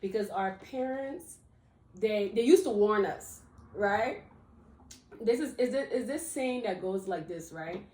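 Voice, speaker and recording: A woman from Liberia co-hosting a casual chat. She is animated, laughs often and repeats words as she starts her sentences. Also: medium pitch, measured pace, roomy sound, poor audio. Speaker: co-host.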